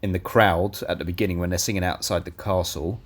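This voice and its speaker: Podcast co-host, lowish voice, teasing and blunt, going a bit hoarse. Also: male voice, little pitch movement, brisk. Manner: blunt, teasing, swears freely. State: losing his voice. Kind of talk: casual film review chat.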